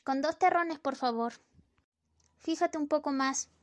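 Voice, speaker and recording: A woman's voice: sits high, measured pace. A woman acting a flippant, bossy wife who nags her husband, in an amateur radio play. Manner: flippant, nagging. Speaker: student radio drama actor.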